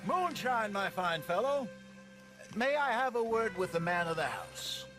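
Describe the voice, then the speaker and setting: An actor playing a theatrical, smooth-talking American outlaw con man, florid and wheedling, in a western video game. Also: high voice, very animated, measured pace. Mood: smooth, persuasive. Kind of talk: video game cutscene acting. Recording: music behind the voice.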